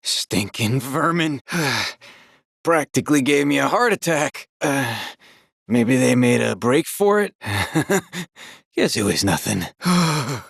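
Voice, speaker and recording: An actor playing a grumbling, jittery bandit guard in a video game, with a creaky voice. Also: male voice, medium pitch, animated, takes it slowly, a bit bright, no echo, clean studio sound, exaggerated voice. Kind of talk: video game character barks.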